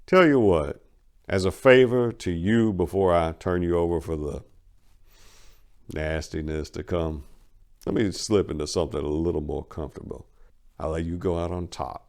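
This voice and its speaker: A man's voice: sits low, steady tone, normal speed, a little mellow. A man voicing a mocking old crooked mayor in a crime story, jovially menacing and talking in drawling street slang. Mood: leering. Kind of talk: dramatic reading of a crime story.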